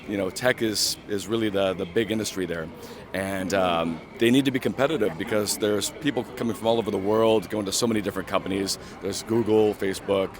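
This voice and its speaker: A man with a fairly low voice explains things casually to a street interviewer. Faint noise sits behind him outdoors in the city.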